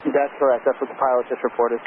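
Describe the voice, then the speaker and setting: A man's voice: medium pitch, level tone, quick pace, phone-quality sound. A man working as an air traffic tower controller, speaking composed, clipped procedural phraseology over aircraft radio.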